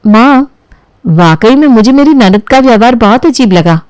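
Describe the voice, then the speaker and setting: A woman's voice: fairly high pitch, lively intonation, moderate pace, darkish tone, clean tone, no room sound, close to an everyday voice. A woman voicing a dutiful young daughter-in-law in a family story, polite and deferential. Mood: polite, concerned. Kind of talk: acted dialogue in a Hindi family storybook tale.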